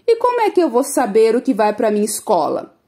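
Storybook little boy, eager and full of questions, high and bouncy, as a woman puts him on. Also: very animated, quick pace, mellow. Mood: curious. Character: curious excited little boy.

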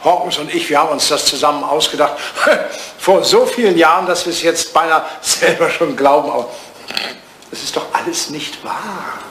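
An actor playing an ageing English lord in a retro German radio play, a vain, jealous suitor with a droll, drily teasing, theatrical manner. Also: male voice, a little high, moderate pace, fairly bright tone, natural voice. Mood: sheepish. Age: older. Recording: distant, roomy, noisy old radio recording.

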